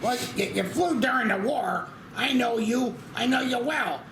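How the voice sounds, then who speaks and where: A man playing an earnest, excitable airline man who urges and stammers in a screenplay table read. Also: extremely high pitch, measured pace. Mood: urging. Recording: echoey room with background noise.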